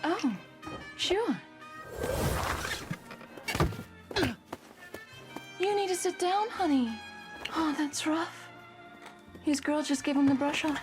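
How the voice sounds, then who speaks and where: A woman's voice: high-pitched, unhurried, music in the background. An actress playing a sweet, breezy young witch in a 1920s period film, with a coy, affectionate manner. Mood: breezy, sympathetic.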